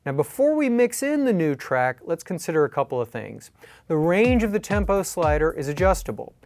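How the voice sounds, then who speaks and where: A man calmly explaining step-by-step techniques in a tutorial, as an instructor. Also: on the high side, normal speed. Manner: calm, explanatory. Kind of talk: tutorial narration. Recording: faint music behind.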